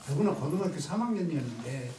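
Older Jeolla-accented man, lively voice. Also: a little high, quick pace, a little hiss or hum. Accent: Jeolla Korean. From Jeolla province, Korea. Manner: folksy, asks questions and affirms answers. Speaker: dialect contest judge and former teacher. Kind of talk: casual group chat about dialect. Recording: echoey room, voice from far off.

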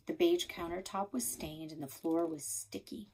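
A middle-aged woman, a librarian, reading a children's chapter book aloud in a calm storytelling voice, recorded on a webcam in a small office. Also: fairly low pitch, normal speed, low-quality recording. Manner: calm storytelling, soft breathy passages.

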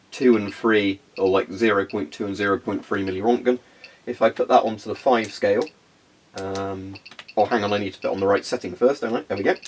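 A young man with a congested, phlegmy voice, casually demonstrating equipment in a carpeted room and rambling with frequent ums. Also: mid-range voice. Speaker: hobbyist video host.

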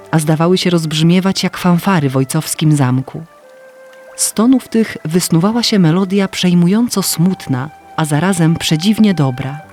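A man narrating a scripted fairy tale as an expressive, literary storyteller. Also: on the high side. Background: faint music.

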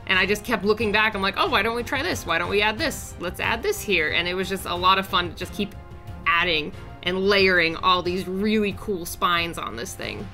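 A young woman with a sore, raspy throat chatting in a casual voice-over as an art channel host, upbeat and rambling with small fillers. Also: medium pitch, lively intonation, moderate pace, pushed and bright, soft background music. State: sore throat, raspy and deeper than usual. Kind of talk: casual voice-over narration of a drawing timelapse.

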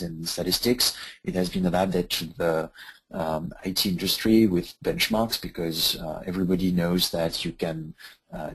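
A man with a French accent giving a technical webinar presentation, talking with many pauses and filler sounds. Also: deep voice, vocal fry, slight background noise, rough recording. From France.

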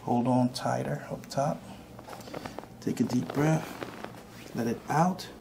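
A man's voice: medium pitch, fairly even intonation, slow, gravelly. Reassuring male chiropractor, faint background noise. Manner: reassuring, repeats short prompts. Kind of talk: casual hands-on instruction to a patient. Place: small indoor chiropractic treatment room.

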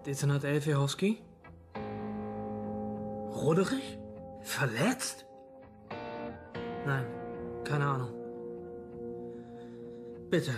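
Cautious, sensible police colleague for a radio play, slightly high voice, probing manner. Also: male voice, lively intonation, extremely slow, pauses often, over loud music. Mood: businesslike. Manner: reasonable, probing. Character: level-headed, cautious male police detective, concerned colleague. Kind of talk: German radio crime drama acting.

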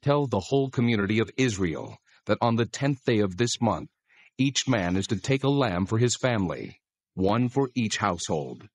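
God in an audio bible, low solemn voice, commanding and measured, very dry studio sound. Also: male voice, sing-song, breathy edge, no echo. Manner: measured, authoritative, instructing. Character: God, solemn commanding male deity giving laws. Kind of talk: dramatized scripture reading.

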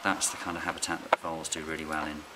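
A middle-aged man, a licensed bird ringer, calmly explaining his fieldwork while handling birds in a farm barn. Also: very deep voice, rapid-fire. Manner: calm, quiet, hands-on explaining with fillers. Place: open farm barn on straw, outdoors air. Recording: noisy outdoor camera recording.

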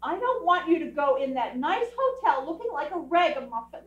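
A woman's voice: high-pitched, sing-song, brisk. A woman playing a chatty, romantic, scolding wife in an old-time radio comedy on stage. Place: theatre stage, before an audience. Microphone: distant camera. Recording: muffled, echoey theatre stage from far off.

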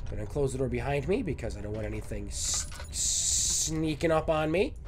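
A man's voice: medium pitch, animated, moderate pace, background noise. Stuffy-nosed young man gaming. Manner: chatty, self-mocking, talks to objects. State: congested, nasal from a cold. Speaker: gaming video host. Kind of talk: let's play commentary. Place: small room at home.

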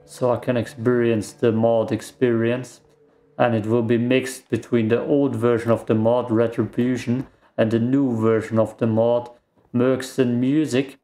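A man's voice: mid-range voice, level tone, unhurried, warm tone, soft background music. German-native man rambling over gameplay. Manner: rambling, self-deprecating, reacts aloud to the game. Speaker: let's play gamer. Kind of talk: casual gameplay commentary. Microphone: desk microphone.

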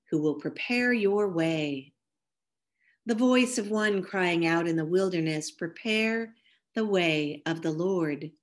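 A woman minister reading scripture and a devotional reflection in a careful, measured way. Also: on the low side.

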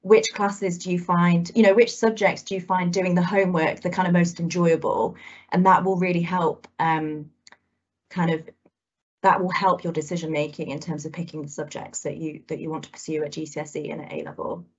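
A woman's voice: fairly low pitch, level tone, fairly quick, husky. Chatty woman in her thirties on a call. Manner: chatty, many "kind of" fillers. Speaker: guest speaker, alumna. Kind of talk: talk to students. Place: indoors at home. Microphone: laptop video call. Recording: muffled video call audio.

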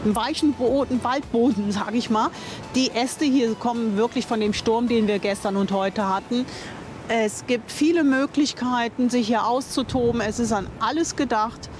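A woman's voice: a little high, moderate pace, over music. Chatty older woman touring outdoors. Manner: chatty, enthusiastic, thinks aloud and corrects herself. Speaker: vlogger touring a campsite. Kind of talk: casual on-camera tour commentary. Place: outdoors at a campsite playground.

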